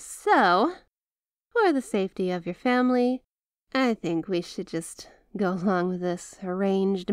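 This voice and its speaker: A woman playing an apologetic, lovestruck young mafia heiress in an intimate ASMR roleplay, talking in a hesitant, conversational, softly teasing way. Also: medium pitch, no echo, clean studio sound, close to an everyday voice.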